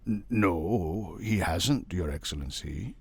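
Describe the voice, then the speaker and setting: A man voicing a humble hall porter in a storybook reading, halting, subdued and deferential. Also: deep voice, animated, breathy edge, heavily acted. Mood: hesitant, deferential.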